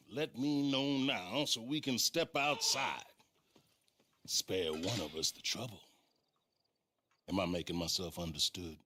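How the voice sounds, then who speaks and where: A stern, menacing lawman of the frontier in a game monologue. He speaks very slowly and forcefully, with big theatrical swings of pitch and grandiose threat. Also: full character voice. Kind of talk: dramatic game cutscene monologue.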